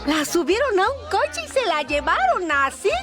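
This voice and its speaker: An actress playing a chatty, colloquial barroom waitress who dreams of singing, giggly and rambling, in a radio drama. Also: female voice, extremely high pitch, very animated, moderate pace, a bit bright, heavily acted. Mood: worried, gossipy. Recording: music bed behind the voice.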